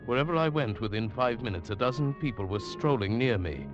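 A man's voice: medium pitch, lively intonation, a little fast, natural voice. An actor playing a bewildered, haunted traveler who tells his eerie tale in an intense, hesitant, dramatic way. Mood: tense, uneasy. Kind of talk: 1940s radio drama acting and first-person narration. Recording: dull vintage radio recording with music.

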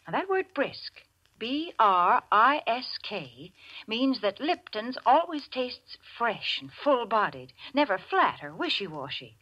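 A woman announcer giving a polished, emphatic advertising pitch in 1940s radio style. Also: sing-song, slow pace. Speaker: commercial announcer. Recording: dull vintage radio recording.

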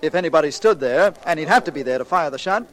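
A man's voice: a little high, lively intonation, fast talker, natural voice. A man acting a cynical, urbane husband in a 1940s radio crime drama, sardonic. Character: suave, flippant, cynical husband under suspicion. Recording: old 1940s radio recording.

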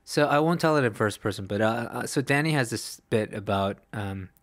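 A man's voice: on the low side, expressive, fairly quick, no room sound. A man co-hosting a casual podcast, laid-back and self-mocking, laughing often. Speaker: podcast co-host. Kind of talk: casual chat.

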